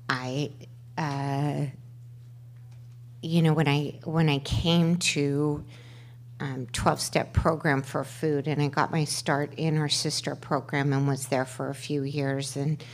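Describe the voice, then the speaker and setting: A middle-aged woman with a loud voice sharing her story on a microphone at a twelve-step meeting, halting, with many fillers and restarts. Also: deep voice, little pitch movement. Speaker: panel speaker at a twelve-step workshop. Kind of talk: personal sharing at a recovery meeting. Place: meeting room. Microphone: handheld microphone.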